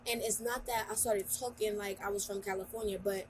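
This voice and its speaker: A woman's voice: mid-range voice, fairly even intonation, a little fast. A young woman co-hosting a podcast who talks bluntly in slang and acts out what other people say. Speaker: podcast co-host. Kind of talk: casual chat. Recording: virtual call, faint background noise.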